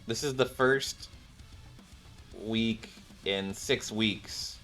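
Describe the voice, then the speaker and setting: A man's voice: on the low side, extremely slow, sharp tone. A man streaming a video game, chatting casually and muttering to himself in a rambling way as he plays. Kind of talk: casual live stream chat over gameplay. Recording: game music playing behind the voice. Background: video game music.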